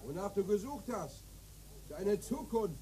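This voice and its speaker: A small, frightened inner voice in a radio play. It sits very high and speaks meekly in a hushed tone. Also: male voice, sing-song, a little slow, slight background noise, rough recording. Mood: fearful. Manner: meek, hushed.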